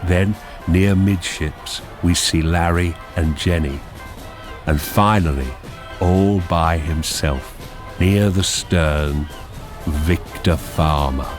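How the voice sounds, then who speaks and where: A man narrating a radio drama, reading screenplay directions in a measured, deliberate manner. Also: low voice, over music.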